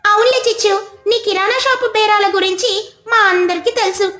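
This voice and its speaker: A woman's voice: extremely high pitch, fairly quick, pushed and bright, reverberant, soft background music, voice pushed up. A woman voicing a teasing, gossipy village bird shopkeeper in a children's cartoon story. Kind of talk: children's animated story dialogue.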